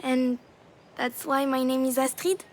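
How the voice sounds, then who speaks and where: A young daughter in a story game, really high voice, sweetly earnest, curious and supportive. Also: female voice, fairly even intonation, unhurried, slight background noise. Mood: curious, wide-eyed. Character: young daughter, a girl, curious, gentle, consoling. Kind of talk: dramatic video game voice acting.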